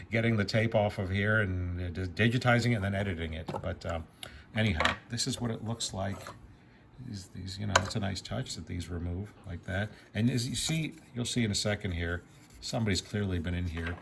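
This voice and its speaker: Rambling low-voiced man over a loud buzz. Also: creaky. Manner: rambling, conversational, self-interrupting. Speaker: vintage tape recorder reviewer. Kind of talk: casual unscripted gear demonstration. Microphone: handheld camera. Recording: loud electrical buzz and hum. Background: steady loud buzz from tape machine.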